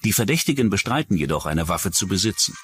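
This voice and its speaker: A man narrates a true-crime documentary from a script. His mid-range voice moves with a very lively intonation, and soft music sits behind it. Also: low voice, fast talker.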